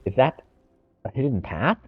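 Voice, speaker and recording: A man's voice: mid-range voice, very animated, normal speed, slightly rough, dull audio. A man streaming a game, casually reading lines aloud and commenting live.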